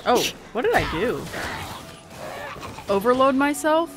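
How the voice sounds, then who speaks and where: A woman's voice: high-pitched, big pitch swings, measured pace. A young adult woman doing casual, playful let's play commentary, chatty and rambling as she talks to herself. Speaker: gaming YouTuber.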